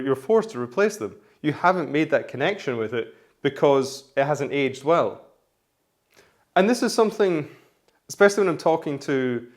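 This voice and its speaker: Hoarse man chatting in a vlog. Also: medium pitch. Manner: rambling, chatty, frequent restarts. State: hoarse from flu. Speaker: menswear vlogger. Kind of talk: casual vlog talk.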